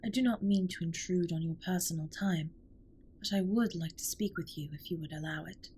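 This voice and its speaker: A woman playing an alien spaceship captain in a comfort audio roleplay, speaking softly in formal, precise sentences with gentle, earnest concern. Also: lowish voice, slight background noise, natural voice. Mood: polite, puzzled. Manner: formal, stiffly precise, measured wording.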